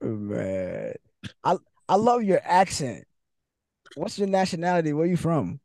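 A man's voice: fairly high pitch, sing-song, measured pace, gravelly, a little mellow, no room sound, studio quality. A man hosting a podcast, laid-back and slangy, laughing easily as he chats with his guest. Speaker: podcast host. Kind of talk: casual interview chat.

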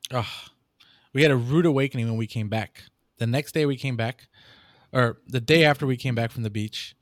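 A young man, a new father, chatting casually on a podcast and rambling through stories with sudden lively jumps in his voice. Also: no echo, studio quality. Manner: rambling storyteller, animated jumps in pitch. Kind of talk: casual chat.

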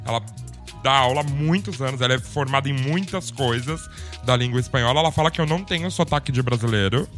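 A Brazilian man with a Brazilian Portuguese accent, co-hosting a casual chat and telling stories in a lively, emphatic way. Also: medium pitch, quick pace, faint music behind. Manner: lively, emphatic, animated storytelling.

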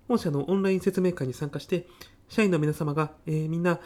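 A man's voice: fairly high pitch, fairly quick, dark tone, natural voice. A man playing an earnest, hapless university student at a job interview in a comedy sketch, with stiff, hesitant, rehearsed politeness. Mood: eager, apologetic. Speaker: job applicant. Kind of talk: audio comedy sketch acting.

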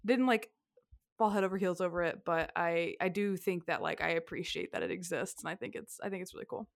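A woman's voice: lowish voice, expressive, a little fast, creaky, dry recording, clean studio sound. A woman co-hosting a casual film podcast, chatty, laughing often and restarting her sentences. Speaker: podcast co-host.

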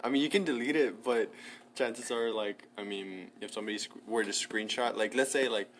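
A man's voice: lowish voice, fairly quick, fairly bright tone, slight background noise. A young man co-hosting a podcast, talking casually and rambling, filling sentences with "like" and the odd swear. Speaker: podcast co-host. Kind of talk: casual chat.

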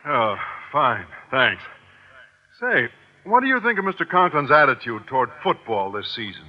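A man's voice: mid-range voice, big pitch swings, moderate pace. An American actor playing a mild, earnest, awkward male schoolteacher with a boyish, hesitant manner in an old radio sitcom. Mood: sheepish. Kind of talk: 1940s-50s radio sitcom acting. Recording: dull, noisy old radio recording.

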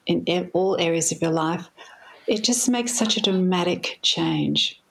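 A woman therapist guest on a podcast, explaining with lively enthusiasm. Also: fairly low pitch, measured pace, rough recording. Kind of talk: podcast interview.

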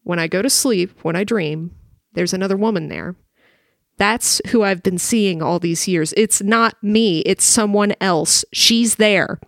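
A woman's voice: medium pitch, unhurried, no echo, studio quality, natural voice. An actress playing a frightened young wife who narrates her dreams in an old radio drama, earnest and anxious. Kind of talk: 1950s radio drama acting.

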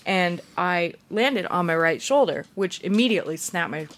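A woman's voice: on the low side, lively intonation, normal speed, a bit bright, slight background noise. A woman co-hosting a casual podcast, telling stories with a lot of swearing and laughter. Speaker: podcast co-host.

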